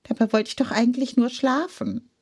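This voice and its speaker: A woman's voice: mid-range voice, animated, takes it slowly, vocal fry, a little mellow, voice pushed up, full character voice. A woman doing a grumpy, sarcastic hen. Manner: sarcastic, grumbling. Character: grumpy sarcastic hen, young adult female.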